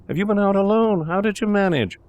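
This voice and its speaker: A man voicing a frightened young medical student with a high, strained voice and a stammering, incredulous manner, in a gothic audio drama. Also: lively intonation, brisk, a little mellow, no echo, muffled recording. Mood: alarmed, incredulous.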